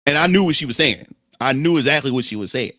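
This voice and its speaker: A young adult man with a Philadelphia accent, telling a story in a casual, animated monologue, swearing freely and often restarting his phrases. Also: medium pitch, fast, no room sound, clean studio sound. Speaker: streamer.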